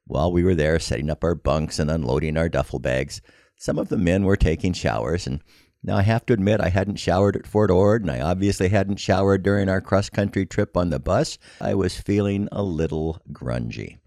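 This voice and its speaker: Older american guy, super low creaky voice, wry folksy storytelling, dry close sound. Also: moderate pace, a little mellow, no echo. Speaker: podcast storyteller. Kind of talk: scripted personal narration.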